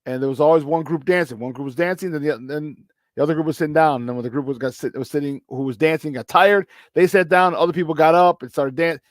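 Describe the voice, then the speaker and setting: A man chatting on a morning sports talk show, his voice slightly hoarse and pitched a bit high. He hammers his points with repetition and laughs between thoughts. The sound is dry and close to the mic. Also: fast talker, no echo. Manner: repetitive, emphatic, laughs often. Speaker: sports talk radio host.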